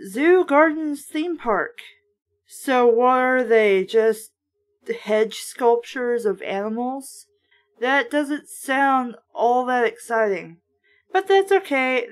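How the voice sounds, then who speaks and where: A woman hosting a casual book read-aloud, breaking off into sarcastic running commentary. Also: high voice. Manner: sarcastic asides. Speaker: host of a book review series.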